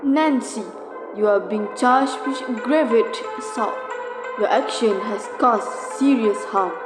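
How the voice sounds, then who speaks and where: A woman acting a stern judge in an amateur radio drama, formal and measured. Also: on the high side, over music. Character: stern female courtroom judge. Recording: echoey, long reverb.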